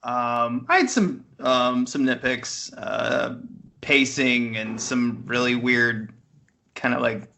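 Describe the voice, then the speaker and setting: A man's voice: medium pitch, slow, creaky, pushed and bright, a little hiss or hum. A man co-hosting a casual film podcast over an internet call, talking in an informal, wry way. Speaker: podcast co-host reviewing films. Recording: Skype call audio.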